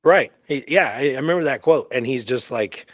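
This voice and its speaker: A man's voice: mid-range voice, a little fast, no room sound, studio quality. A man co-hosting a casual history discussion, speaking conversationally and emphatically, often checking in with "right?". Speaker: podcast co-host. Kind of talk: casual history discussion with some reading aloud.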